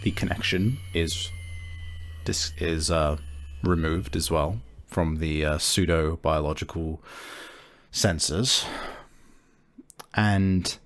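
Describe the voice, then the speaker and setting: A man's voice: extremely low pitch, steady tone, slow pace, pauses often. A man working as game master, improvising scene narration for a roleplay story.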